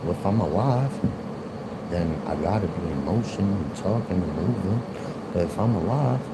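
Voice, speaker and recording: A man's voice: low voice, expressive, moderate pace. A man in his forties with a Philadelphia accent, rambling and repeating himself in a livestream monologue. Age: 40s. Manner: rambling, repetitive, argumentative. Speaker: livestream host. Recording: loud background noise, air conditioner hum.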